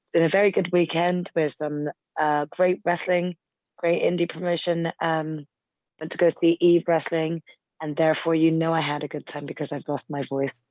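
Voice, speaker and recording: Slightly hoarse woman on a lo-fi mic. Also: low voice, flat delivery, normal speed, no echo. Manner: chatty storyteller, laughs through her tale. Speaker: podcast co-host. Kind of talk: casual chat. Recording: dull lo-fi mic.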